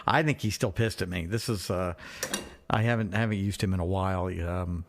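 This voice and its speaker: A man co-hosting a podcast in a casual, comic phone chat, excitable and joking, with casual swearing. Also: low-pitched, a little fast, slight background noise. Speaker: podcast co-host.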